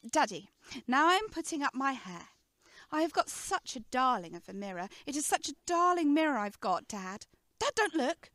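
A woman's voice: sits high, big pitch swings, normal speed, fairly bright tone, no room sound. A woman playing a playful, affectionate teenage daughter in a radio play, teasing and coaxing in a girlish way.

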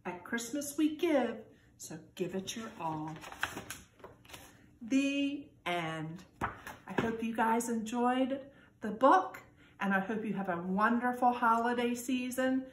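Animated woman reading a children's storybook. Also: a little high, takes it slowly. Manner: expressive, singsong rhyme reading. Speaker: kindergarten teacher reading aloud. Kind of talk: children's storybook read-aloud. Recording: echoey room with background noise.

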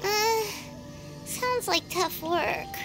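A tiny girlish fairy sidekick from a video game. The voice is extremely high and squeaky, childlike and naively curious, with slow, drawn-out phrasing over music. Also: animated, full character voice. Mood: baffled, reluctant. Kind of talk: scripted video game dialogue.

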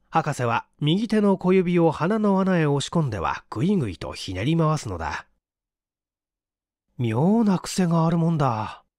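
A man reading a story aloud as an audiobook narrator, in an expressive scripted delivery. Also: on the high side, dry recording, studio quality.

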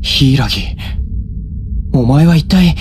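A man playing an earnest, casual-spoken teenage schoolboy hero in an anime-style game, quick to worry. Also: on the high side, a little mellow, a little hiss or hum. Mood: alarmed, urgent. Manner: casual, rough boyish speech. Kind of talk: Japanese anime game acting.

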